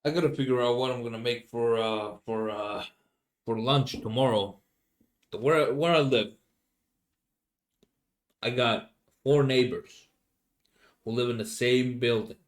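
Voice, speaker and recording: A young man from Finland with a Finnish accent, speaking English as a second language, rambling casually as a solo podcast host and often pausing to search for words. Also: mid-range voice. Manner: halting, many fillers and restarts, searching for English words.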